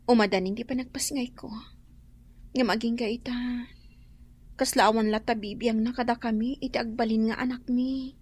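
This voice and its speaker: A troubled young woman of radio drama with an Ilocano accent. Her voice is somewhat high and husky with breath. She speaks plaintively, like a sad daughter. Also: fairly even intonation, moderate pace, pauses often, a little mellow. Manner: plaintive, emotional.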